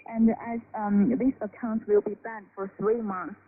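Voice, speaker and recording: A woman explaining haltingly as an analyst in a phone interview on shortwave radio. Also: medium pitch, flat delivery. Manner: halting, with frequent hesitations. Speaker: financial analyst guest. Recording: phone line over noisy shortwave radio.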